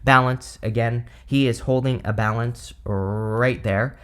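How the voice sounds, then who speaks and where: A man giving a relaxed, rambling tarot reading with lots of "you know" and "uh", his voice a bit hoarse from allergies. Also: medium pitch, takes it slowly. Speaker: tarot reader. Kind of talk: casual tarot reading to camera.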